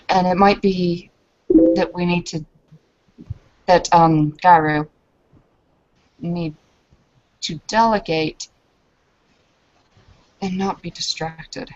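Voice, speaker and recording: A woman voicing an earnest, humble kinfolk woman in a tabletop roleplaying game, speaking formally and hesitantly, with careful halting phrasing. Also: lowish voice, a little mellow, dull audio. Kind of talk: improvised in-character roleplay.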